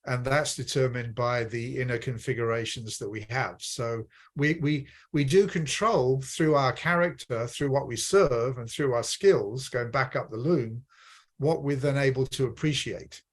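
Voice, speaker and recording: A man from the Midlands of Britain talking through ideas on personal development in a measured, explanatory way, restarting phrases as he goes. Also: medium pitch. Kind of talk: reflective conversation on personal development.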